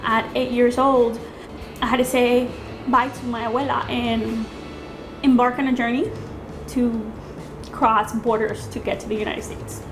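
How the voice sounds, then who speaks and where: Woman from El Salvador, higher voice, heartfelt interview answers over a clear music bed. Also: level tone, unhurried, long pauses, poor audio. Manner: earnest, emotional reminiscing. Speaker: cooking show contestant.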